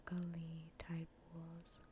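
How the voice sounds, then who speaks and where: A woman streamer chatting softly in ASMR style close to the microphone, often in a breathy whisper, with a dry, unhurried, matter-of-fact way of talking. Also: deep voice, completely flat delivery, noisy background. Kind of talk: soft-spoken ASMR gaming livestream chat.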